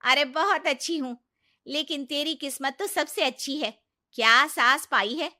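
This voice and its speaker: A woman voicing a loud, gossipy neighbour auntie in a cartoon story. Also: high-pitched, measured pace, no echo, studio quality, voice pushed up. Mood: gushing. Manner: loud, gushing, teasing.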